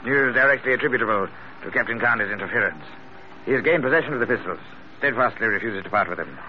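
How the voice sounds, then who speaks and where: An actor playing a theatrical, gloating gentleman blackmailer in florid, formal speech, in classic radio drama style. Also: mid-range voice, animated, fast, lightly acted. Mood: cold, accusing. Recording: muffled old radio broadcast, faint hiss.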